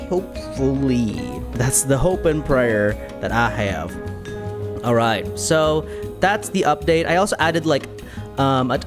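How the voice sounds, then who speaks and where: A game streamer giving casual playthrough commentary in a playful, theatrical way, dropping into sing-song asides. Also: mid-range voice.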